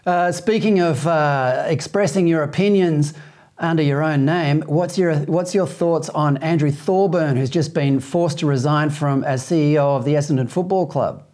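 Australian man, talk show host, slightly high voice, brisk commentary. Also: darkish tone.

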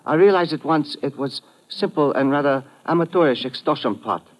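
A man's voice: a little high, normal speed, lightly acted. Man acting a smug foreign villain, formal and clipped. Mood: smug, menacing. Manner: formal, precise, clipped wording with dropped articles. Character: scheming foreign doctor villain, smug and coldly menacing. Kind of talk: old-time radio drama acting. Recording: vintage muffled radio broadcast.

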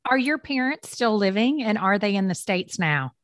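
Lively encouraging woman host. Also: medium pitch, normal speed, no echo, clean studio sound. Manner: encouraging, with frequent affirming interjections. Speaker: interview host. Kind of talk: casual interview conversation.

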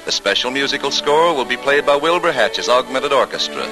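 A man's voice: medium pitch, very animated, a little fast. A man announcing in a formal 1940s radio style, with a polished, grand showman delivery. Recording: old radio broadcast with orchestral music. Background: music.

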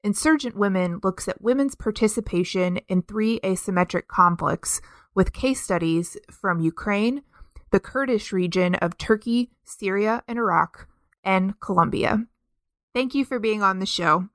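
A woman's voice: medium pitch, unhurried, a bit bright, no room sound. Composed woman podcast host, dry sound. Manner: clear, composed, reads introductions. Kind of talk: scripted interview hosting.

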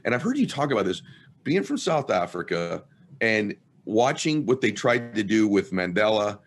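A man hosting an interview in a conversational style. His voice is on the low side, and he reflects aloud at length while affirming his guest's points. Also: very animated, normal speed, a little hiss or hum. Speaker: podcast host.

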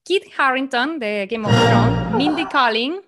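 A young Chilean woman co-hosts a relaxed chat, with a high voice and a Chilean accent. She talks on at length, with plenty of fillers. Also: takes it slowly, over loud music. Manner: chatty, reads lists aloud, many fillers. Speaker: podcast co-host. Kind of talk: casual chat.

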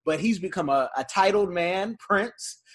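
A man's voice: high voice, lively intonation, unhurried, a bit bright. A man hosting a casual conversation, telling stories and laughing between remarks. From United States.

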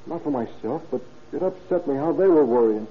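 An actor playing a young murderer confessing to police, matter-of-fact and self-justifying, in a 1930s radio drama style. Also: male voice, a little high, lively intonation, brisk, close to an everyday voice. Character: young male murderer calmly rationalizing his confession to police. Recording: tinny, noisy 1930s radio recording.

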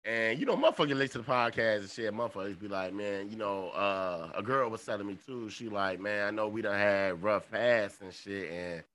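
Man, 30s, podcast co-host; bright, tense tone, rambling profane storyteller. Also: fairly low pitch, brisk, few pauses. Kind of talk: casual chat.

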